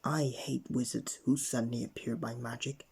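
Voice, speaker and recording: A man's voice: mid-range voice, flat delivery, normal speed, gravelly, slightly rough. A man voicing a grouchy, moaning storybook character who scornfully complains about everything. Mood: grumpy.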